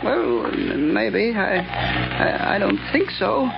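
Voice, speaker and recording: A man's voice: sits high, big pitch swings, slow, creaky, heavily acted. A male actor playing a deranged night watchman and killer in a 1930s radio drama, stammering, giggling and repeating himself. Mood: giddy, unsettled. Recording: noisy, muffled 1930s radio recording.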